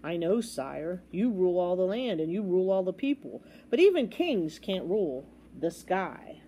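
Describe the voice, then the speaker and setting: A woman's voice: fairly low pitch, sing-song, moderate pace, mellow, slight background noise. Woman voicing an anxious, pleading page boy. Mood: earnest. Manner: pleading, gasping. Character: anxious, earnest young page boy, respectful but pleading.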